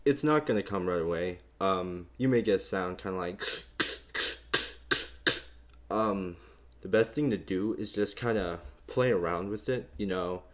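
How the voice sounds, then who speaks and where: Deep-voiced man teaching beatboxing. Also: unhurried, pauses often, a little hiss or hum. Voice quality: bass voice. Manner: relaxed, rambling, many fillers. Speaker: beatbox tutorial host. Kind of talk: casual instructional talk.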